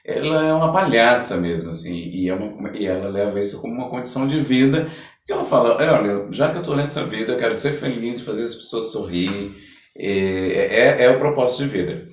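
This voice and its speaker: Brazilian guy podcast host, mid-range voice, halting chat, roomy echo. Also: medium pitch, animated. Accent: Brazilian Portuguese. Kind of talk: casual podcast conversation. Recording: echoey room, distant microphone.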